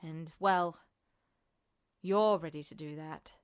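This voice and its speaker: A woman voicing an older military man, a smug colonel who talks in a measured, earnest, insistent way, in an audiobook story. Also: low voice, clean tone, no echo, studio quality, voice pushed down. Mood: grave, pressing. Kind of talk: fan fiction audiobook dialogue.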